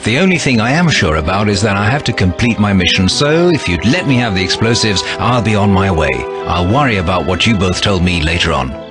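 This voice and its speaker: An actor playing a bewildered, dutiful young agent in a video game cutscene, sceptical and plain-spoken. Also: male voice, on the low side, lively intonation, a little fast, lightly acted. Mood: baffled, doubtful. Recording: music behind the voice. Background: music.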